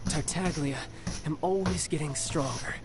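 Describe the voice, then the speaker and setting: An actor playing a cocky, playful young warrior and protective big brother in a video game, with music underneath. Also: male voice, fairly high pitch, moderate pace, natural voice. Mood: earnest, proud.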